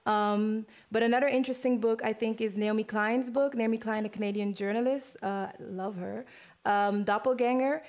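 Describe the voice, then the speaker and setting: A woman panelist, eager and quick to agree with the others. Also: fairly high pitch, steady tone, a little mellow.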